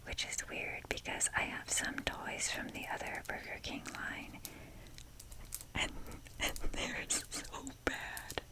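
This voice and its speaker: Whispering woman, slow and rambling. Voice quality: soft breathy whisper. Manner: rambling, hesitant, self-deprecating humour. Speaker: ASMR video creator showing a toy collection. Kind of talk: ASMR, casual rambling show-and-tell.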